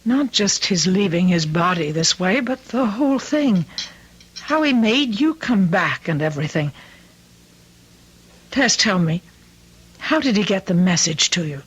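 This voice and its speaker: A woman's voice: mid-range voice, lively intonation, unhurried, long pauses, slightly rough, highs cut off. Casting: anxious mother of a grown daughter, middle-aged, mid-range voice, earnest and fussing, vintage radio play. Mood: gentle, probing. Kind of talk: old-time radio drama acting.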